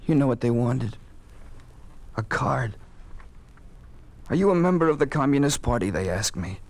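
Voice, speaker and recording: A broken, bitter widower in a vintage radio drama. The voice is mid-pitched, halting and haunted, with a desperate intensity. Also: male voice, lively intonation. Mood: bitter grief. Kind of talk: 1950s radio drama acting.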